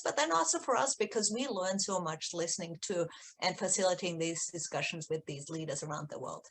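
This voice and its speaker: A woman's voice: medium pitch, fairly bright tone. A middle-aged woman from Austria, a native German speaker with an Austrian accent, explaining things conversationally as an interview guest. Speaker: research executive, interview guest.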